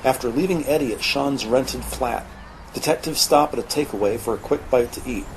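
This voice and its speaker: A man's voice: medium pitch, normal speed, slight background noise, poor audio. A man narrating an audio drama in a scripted, descriptive storytelling style.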